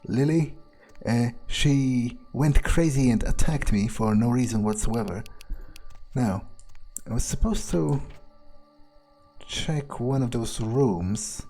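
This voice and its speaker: A man's voice: mid-range voice, takes it slowly, dark tone, faint music behind. A man playing a cold, thrill-seeking vampire vigilante, musing aloud in a sardonic way as he narrates a game. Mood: puzzled musing. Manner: musing, hesitant, sardonic, swearing. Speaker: gamer role-playing a character in a playthrough.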